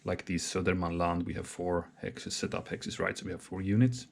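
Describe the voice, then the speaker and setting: A man thinking aloud while he sets up a solo wargame, talking hesitantly with many pauses and restarts. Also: low-pitched, fairly even intonation, vocal fry. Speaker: solo board game player.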